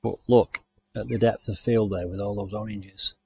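A man's voice: fairly low pitch, fairly even intonation, normal speed, vocal fry, a little mellow, a little hiss or hum. A man from the UK giving a chatty, enthusiastic walking tour of a country garden outdoors, recorded on the camera he carries. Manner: chatty, enthusiastic, thinking aloud. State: hot and overdressed while walking. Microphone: handheld camera mic, walking.

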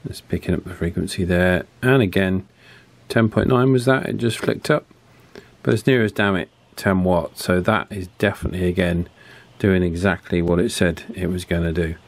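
A man's voice: low voice, moderate pace, no room sound. A man with a British accent giving a casual, chatty hands-on product review in colloquial language. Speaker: radio reviewer.

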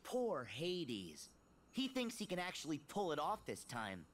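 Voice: medium pitch, wildly swooping pitch, a little slow, slight background noise. An actor voicing a plucky, earnest teenage boy hero in a video game cutscene. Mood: defiant, mocking.

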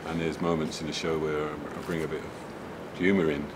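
A man in his fifties, a jazz singer, talking in a relaxed interview with a bass baritone voice and a habit of saying you know. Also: normal speed, noisy background. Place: club lounge indoors. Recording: loud music behind. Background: loud music.

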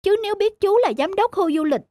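An actress playing a proud young wife in a cartoon, speaking in an expressive, emphatic way. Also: female voice, extremely high pitch, a little fast, no echo, studio quality. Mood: sheepish, apologetic. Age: young adult. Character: haughty young wife, quick to scold, later sheepish. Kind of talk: cartoon voice acting. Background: faint music.

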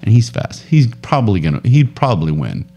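Low-voiced man telling a rambling story. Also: brisk, muffled recording, soft background music. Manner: rambling storyteller, laughs often, animated. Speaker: podcast co-host. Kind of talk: casual chat. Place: home studio room, indoors. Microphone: boom studio mic with headphones.

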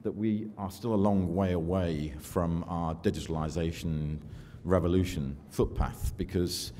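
An older man delivers a keynote from a podium in a large hall, his voice low and mellow. He speaks in a measured, self-deprecating way, pausing on fillers, and the room adds a long reverb over a faint hum of ambience. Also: steady tone. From United Kingdom. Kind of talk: conference keynote talk. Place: large conference hall with audience. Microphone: podium microphone.

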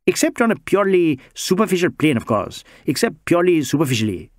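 A man's voice: on the high side, sing-song, quick pace, no echo, clean studio sound, pitched above the performer's own voice. A man voicing an anxious, wordy middle-aged male social worker, pleading and flustered. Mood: fussy.

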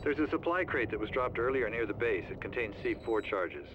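An actor playing a brisk, controlled army colonel giving orders with authority in a video game. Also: male voice, mid-range voice, lively intonation, close to an everyday voice. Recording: muffled, loud background storm noise.

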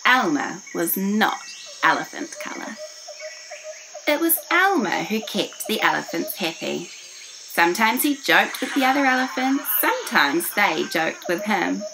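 A woman's voice: mid-range voice, sing-song, unhurried, creaky, background noise. A woman in her twenties reads a children's picture book aloud expressively, enunciating carefully for young listeners. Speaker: children's story reader. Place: small furnished room indoors.

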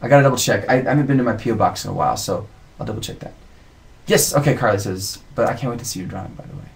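A man's voice: on the low side, very fast, vocal fry. A young man in his twenties, chatting casually and reading viewer comments aloud on a live webcam stream from a home studio. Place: home music studio, indoors. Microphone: webcam mic.

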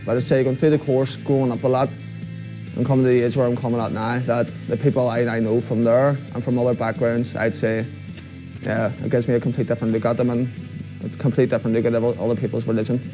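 A man's voice: medium pitch, level tone, quick pace, low-quality recording. A young man with a Belfast accent telling his own story in a promotional video testimonial. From South Belfast. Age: young adult. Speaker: programme participant. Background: guitar music.

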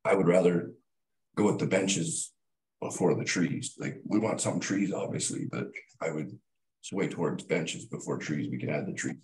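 A man's voice: extremely low pitch, steady tone, moderate pace, a little mellow, roomy sound, rough recording. A Canadian man speaking as a councillor, in a casual, chatty way during a meeting. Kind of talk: council debate.

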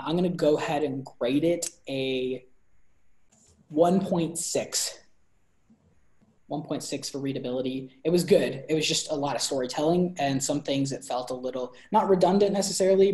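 A young man co-hosting a book review over a video call, talking casually with many fillers and restarts. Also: medium pitch. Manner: animated hand gestures. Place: bright room at home. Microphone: video call laptop. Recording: roomy echo.

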